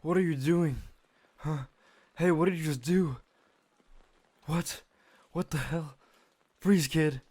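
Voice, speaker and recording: A man playing a predatory, bullying police officer in a fan dub, speaking deliberately with menacing condescension. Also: on the high side, darkish tone, husky, dry recording. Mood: alarmed, shouting. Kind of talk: fan-dub dramatic acting.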